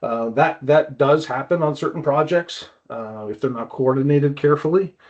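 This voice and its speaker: A man speaking as a guest expert, explaining casually with halting phrasing and repeated words. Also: fairly high pitch, darkish tone, highs cut off. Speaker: guest expert, climbing wall builder.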